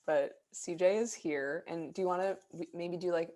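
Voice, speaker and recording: A woman's voice: fairly low pitch, normal speed, dark tone. A young adult woman co-hosting a casual podcast over a video call, talking hesitantly with many fillers and restarts. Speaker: podcast co-host. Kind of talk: casual chat.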